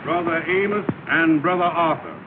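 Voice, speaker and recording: A man's voice: fairly high pitch, normal speed, natural voice. A man playing a pompous lodge chief. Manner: grandiose, garbled big words. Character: pompous male lodge leader, blustering. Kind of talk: 1930s film comedy acting. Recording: hissy, tinny 1930 film soundtrack.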